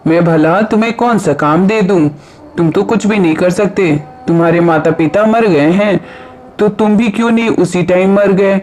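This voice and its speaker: A man voicing a cruel, scornful pigeon in a cartoon moral story, with loud, taunting delivery. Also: sits high, moderate pace, soft background music, natural voice. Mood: scornful, angry. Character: cruel, scornful pigeon mocking an orphan crow.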